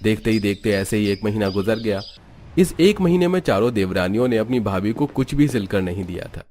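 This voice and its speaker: Low-voiced male cartoon narrator. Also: expressive, brisk, a little mellow, slight background noise. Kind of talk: scripted storybook narration.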